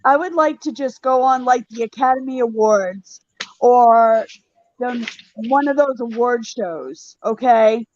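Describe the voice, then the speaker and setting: Slow-speaking woman, heartfelt and indignant. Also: a little high, a little mellow, slight background noise. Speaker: guest, Gold Star mother. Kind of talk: casual panel talk. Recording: dull, dry audio.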